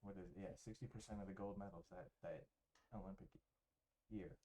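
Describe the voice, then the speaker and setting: Casual male book-club member, low mellow voice, fumbles for words as he goes. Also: fairly quick, vocal fry, poor audio. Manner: loose, searching for words.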